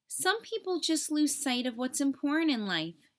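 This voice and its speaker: A woman voicing a kindly, reassuring old Santa Claus in a storybook, explaining things gently. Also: high voice, very animated, normal speed, pitched above the performer's own voice.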